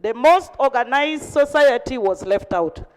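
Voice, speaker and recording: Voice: high voice, lively intonation, a little slow, clean tone. A member of parliament with a Ugandan accent, telling a formal tribute in a narrative way. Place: large parliamentary chamber. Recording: echoey hall with long reverb.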